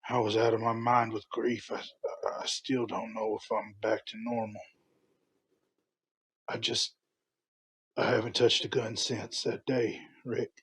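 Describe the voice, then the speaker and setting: A man playing an older, remorseful farmer father, speaking haltingly and earnestly in a motion comic drama. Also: fairly low pitch, little pitch movement, a bit bright, breathy edge, low-quality recording. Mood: solemn, grateful. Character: grieving, remorseful farmer father, humbly thankful.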